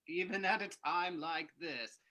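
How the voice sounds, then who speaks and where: Man playing a high-voiced, genteel hotel host. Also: bright, forceful tone. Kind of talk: old-time radio drama reading.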